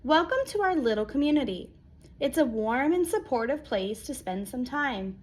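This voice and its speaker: A woman reading a children's picture book aloud as a storyteller, expressive, with dramatic pauses and acted-out exclamations. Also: sits high, a little hiss or hum. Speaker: read-aloud storyteller, teacher.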